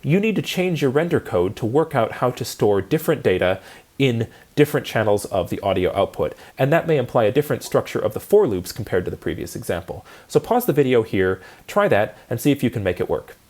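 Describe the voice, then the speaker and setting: A man's voice: mid-range voice, sing-song, a little fast, a little mellow. A man lecturing in a methodical, explanatory way, as if walking students through a programming lesson step by step.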